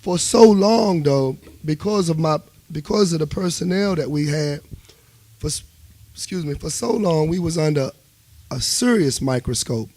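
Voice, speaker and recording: A man's voice: on the high side, normal speed, no room sound. A man with a Washington, DC accent talking casually and emphatically as a musician guest in a radio interview, often checking that he is understood. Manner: emphatic, animated storytelling with repeated check-ins.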